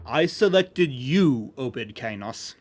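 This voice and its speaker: A man's voice: medium pitch, expressive, slow pace. A man voicing a vain, boastful knight in an amateur fantasy story reading, with haughty, formal speech. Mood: grandiose. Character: pompous, boastful male knight, theatrical and vain.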